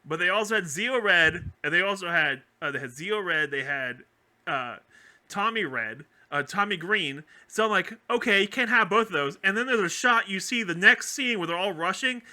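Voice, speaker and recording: A man chatting casually as a podcast co-host, explaining things in an animated, rambling way. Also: high voice, moderate pace. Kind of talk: casual chat, explaining fan theories about a TV franchise.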